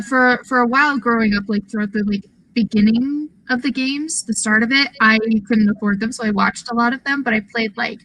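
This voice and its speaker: A woman's voice: fairly high pitch, pushed and bright, poor audio. A woman guest on a gaming podcast, chatting casually. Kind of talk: casual chat.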